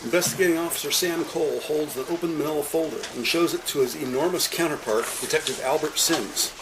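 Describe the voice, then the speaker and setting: A man reading scripted, deliberate and detailed narration for an audio-described police drama. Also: a little high, noisy background.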